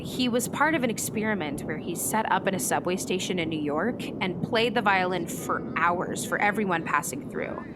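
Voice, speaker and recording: A woman's voice: medium pitch, noisy background, lightly acted. A woman acting in a radio play as a thoughtful meteorologist, speaking in a natural, conversational storytelling way. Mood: earnest, disillusioned. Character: thoughtful female meteorologist and hobby comic illustrator.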